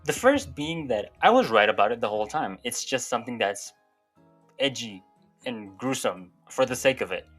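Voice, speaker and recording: Guy doing casual youtube commentary, opinionated and sweary, mid-pitched voice, music playing behind him. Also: sing-song, moderate pace, fairly bright tone. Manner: informal, sweary. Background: background music.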